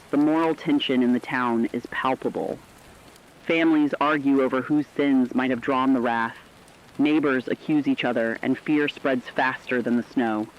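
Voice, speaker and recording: A man playing a solemn radio reporter, reading scripted horror bulletins in a measured, foreboding way. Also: medium pitch, lightly acted. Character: solemn radio field reporter warning of terror. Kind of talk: scripted dramatic radio news narration. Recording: dull lo-fi radio sound, rain behind.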